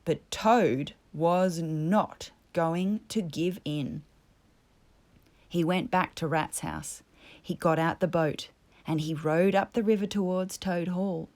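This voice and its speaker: A woman narrating a children's story as a storyteller, in a measured, expressive way. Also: on the low side.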